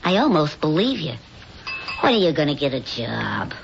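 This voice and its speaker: A woman's voice: low-pitched, very animated, normal speed, vocal fry, full character voice. An actress playing a caring middle-aged mother figure in a radio drama, earnest and reproachful, pleading as she scolds. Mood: wry, concerned. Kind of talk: old-time radio drama acting. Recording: dull, noisy old radio recording.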